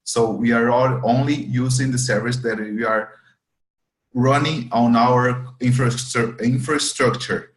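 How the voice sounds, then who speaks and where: A man's voice: medium pitch, steady tone, unhurried, roomy sound, low-quality recording. A man whose first language is Portuguese, a student data engineer answering interview questions carefully, repeating words as he searches for them. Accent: Portuguese-speaking.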